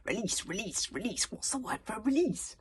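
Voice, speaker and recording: A woman's voice: fairly high pitch, big pitch swings, normal speed, slightly rough, pitched above the performer's own voice, full character voice. A woman voicing a thin, sneering male storybook villain, vengeful and nasty, with a hissing, menacing drawl. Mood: irritated.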